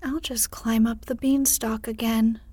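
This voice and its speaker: A woman voicing a cheeky, bold young hero in a playful, breathy whisper. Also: a little high, fairly even intonation, unhurried, dark tone, no room sound. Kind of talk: whispered storybook reading.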